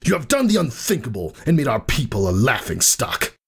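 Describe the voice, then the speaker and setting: A man performs a haughty pegasus warrior in a fantasy story, pitched well up from his own voice and swinging widely. He is menacing and scornful, declaiming in a husky rage. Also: huge pitch swings, brisk, full character voice. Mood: raging, scornful. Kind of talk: dramatic fan fiction reading.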